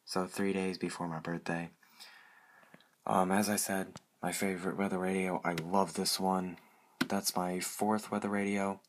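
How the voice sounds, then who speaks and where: A man's voice: low voice, little pitch movement, unhurried, a little hiss or hum. A man casually showing off a collection as a hobbyist, rambling and repeating short phrases of praise. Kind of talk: casual product show-and-tell.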